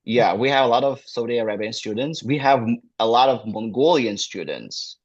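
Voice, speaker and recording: A man's voice: medium pitch, normal speed. Ukrainian-accented man on a dull call. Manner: non-native phrasing with frequent fillers. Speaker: guest, admissions manager. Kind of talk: casual interview talk.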